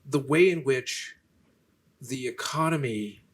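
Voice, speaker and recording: A soft-spoken man, lecturing and answering questions in a halting way, with many restarts. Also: medium pitch. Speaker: featured lecturer in a Q&A. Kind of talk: unscripted lecture conversation.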